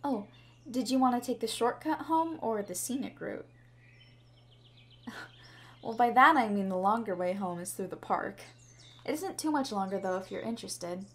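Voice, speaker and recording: A woman's voice: fairly high pitch, lively intonation, normal speed, long pauses, natural voice. A woman playing a shy, sweet schoolgirl with a crush in an ASMR roleplay, speaking in a bashful, hesitant, rambling way. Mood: shy, hopeful. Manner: bashful, hesitant, chatty, giggly. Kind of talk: ASMR roleplay, scripted to the listener. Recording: clearly audible background ambience noise.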